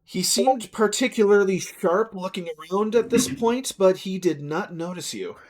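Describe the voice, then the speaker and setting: Dungeon master man, easygoing halting narration. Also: high voice. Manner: relaxed, halting, with many fillers. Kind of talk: narrating a tabletop game.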